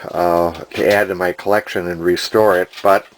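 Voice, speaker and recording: A man, talking casually and unscripted as a collector in a deliberate, plainspoken, folksy way. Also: low-pitched, steady tone, low-quality recording. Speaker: antique radio collector and restorer. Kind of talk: casual unscripted video narration.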